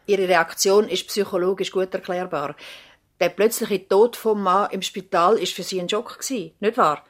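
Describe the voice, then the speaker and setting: A woman's voice: mid-range voice, steady tone, normal speed, lightly acted. A woman playing a sensible, composed doctor's nurse in a radio drama, matter-of-fact, with a Swiss accent. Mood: calm, concerned. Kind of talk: German-language radio drama acting.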